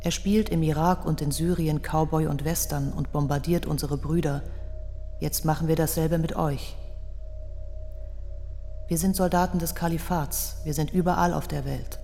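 Cold menacing militant, mellow dark timbre, creaky, commanding, radio play, reverberant, music behind. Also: mid-range voice. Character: policeman reading out cold, menacing terrorists' words.

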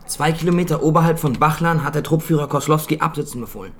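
An actor playing a nervous young soldier who gives stiff, clipped reports in military jargon in a radio drama. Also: male voice, fairly high pitch, quick pace, fairly bright tone, slight background noise, close to an everyday voice. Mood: stiff, reporting. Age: young adult. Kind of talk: German radio drama acting.

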